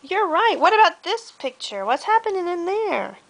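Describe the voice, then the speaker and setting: Very high-pitched, animated woman. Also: normal speed, gravelly. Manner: animated child-directed talk, echoing and encouraging. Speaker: mother reading a picture book with her child. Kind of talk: playful, prompting chat with a toddler.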